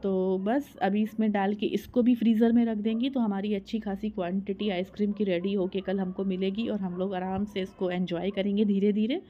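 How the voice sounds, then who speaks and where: Woman, 30s, Hindi accent, chatty vlog voice-over, middle pitch, slightly muffled lo-fi sound. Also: steady tone, fairly quick, hardly any pauses. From India. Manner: conversational, explains step by step, frequent "uh" fillers. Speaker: vlogger. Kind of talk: voice-over narration of a home vlog.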